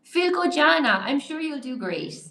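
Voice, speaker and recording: A woman's voice: high voice, very animated, normal speed, creaky, fairly bright tone. A woman teaching English on a live stream, reading out and answering viewers' comments in an encouraging, chatty way. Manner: chatty, encouraging, reacts to chat while typing. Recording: echoey room.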